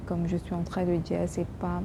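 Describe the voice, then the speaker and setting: A woman vlogger speaking French with a strong non-native accent as an English speaker. She talks halting and earnest, restarting her sentences often. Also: lowish voice, monotone, noisy background. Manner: halting, many restarts and fillers. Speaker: YouTube vlogger. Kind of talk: casual talk to camera.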